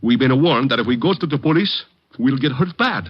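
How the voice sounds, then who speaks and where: A naive butcher, husky voice, anxious broken english, muffled old radio recording. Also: male voice, medium pitch, expressive, fairly quick. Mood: worried, earnest. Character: worried, earnest immigrant male neighborhood butcher. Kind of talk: 1940s-50s radio drama acting.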